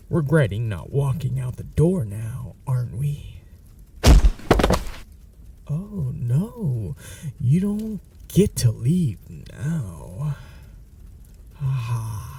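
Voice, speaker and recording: A man's voice: medium pitch, dark tone, distant mic, slight background noise, full character voice. A man voicing a menacing, possessive male vampire in an ASMR audio roleplay, speaking in a whispery, mocking, threatening way. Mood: taunting. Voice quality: whispery, gravelly.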